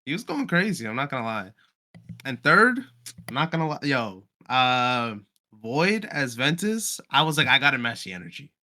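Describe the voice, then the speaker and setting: Bright, forceful young man chatting casually. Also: mid-range voice, big pitch swings, moderate pace. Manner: slangy, enthusiastic. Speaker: podcast guest, amateur voice actor. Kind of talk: casual chat.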